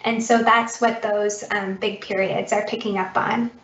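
A woman's voice: mid-range voice, little pitch movement, unhurried, fairly bright tone, slight background noise. A woman scientist answering audience questions in a friendly, explaining way. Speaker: guest scientist. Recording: distant roomy dull video call.

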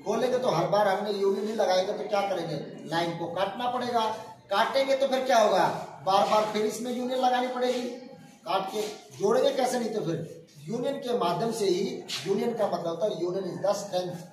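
A man's voice: high voice, fairly quick, distant mic. A man in his forties teaching a hands-on workshop lesson, explaining step by step and often asking the students if they understood. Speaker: workshop instructor. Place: crowded indoor workshop, students standing around. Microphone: far phone camera. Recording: echoey room, voice from afar, crowd noise.

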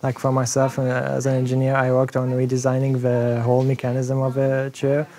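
Mellow Iraqi man, even delivery. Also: mid-range voice, brisk. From Iraq. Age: 20s. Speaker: engineering student. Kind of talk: unscripted interview testimonial. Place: busy classroom studio, indoors. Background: soft background music.

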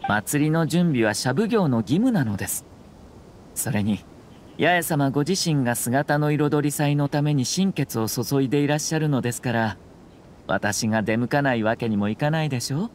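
An actor playing a courteous, composed young nobleman in a Japanese anime game, speaking formally and with measured care. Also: medium pitch, animated, a little hiss or hum, lightly acted. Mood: modest.

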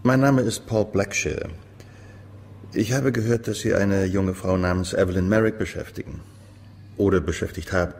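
A restrained amateur detective in a radio drama. He has a deep voice with a creaky edge and probes politely. The room has a long reverb. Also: fairly even intonation, normal speed, a little mellow. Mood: polite, insistent. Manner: questioning, restrained. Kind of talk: German radio crime drama acting. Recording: reverberant room, faint noise.

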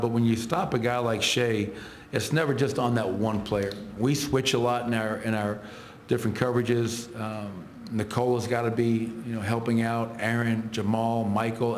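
Low, flat-voiced coach in echoey room. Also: male voice, slight background noise. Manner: measured, reels off stats in clipped phrases. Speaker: head coach. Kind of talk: post-game press conference answers. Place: arena press room. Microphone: table microphones.

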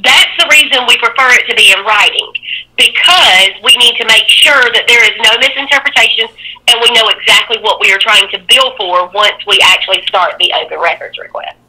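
A woman with a very bright, sharp, fairly high voice. She talks on the phone like an office receptionist, firm and procedural. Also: brisk. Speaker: district attorney's office receptionist. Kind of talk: phone conversation.

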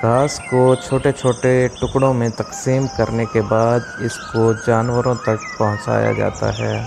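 A man talks slowly and evenly, his low voice rough with fry. He narrates in a measured, informative way. Loud music plays under the voice-over. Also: flat delivery. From Pakistan. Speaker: vlogger. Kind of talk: voice-over narration of a village vlog.

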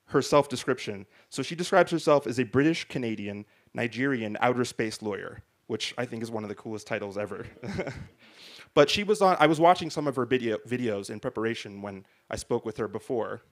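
A man's voice: mid-range voice, lively intonation, a little fast. Man moderating a stage panel. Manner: conversational, frequent "right?" and restarts. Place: large hall stage before an audience. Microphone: handheld mic.

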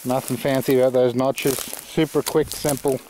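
A middle-aged Australian man giving a rambling, relaxed walking tour outdoors in the woods, full of fillers and restarts. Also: medium pitch, normal speed, a little hiss or hum, poor audio. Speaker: channel host. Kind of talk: casual walking tour vlog. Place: outdoors in rural woodland.

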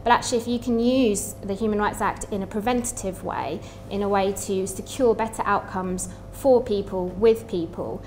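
A woman's voice: mid-range voice, a little mellow. A woman in her thirties giving an explanatory seminar talk as a panelist, conversational in manner. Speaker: human rights organisation director, panelist. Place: indoor seminar room, seated at panel table.

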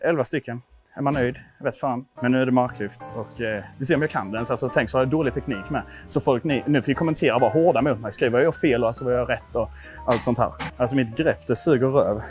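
Male gym vlogger, twenties, from Sweden, average pitch, informal self-deprecating chat with swearing, clear music bed. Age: 20s. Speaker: vlogger testing his lifts at a gym. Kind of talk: casual vlog chat to viewers. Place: indoor gym.

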